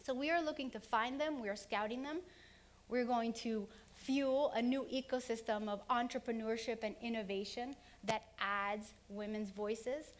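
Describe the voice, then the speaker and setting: A middle-aged woman who grew up in Honduras, giving an earnest conference keynote and running through examples in quick lists. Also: fairly high pitch, fairly even intonation. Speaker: keynote speaker. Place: conference hall.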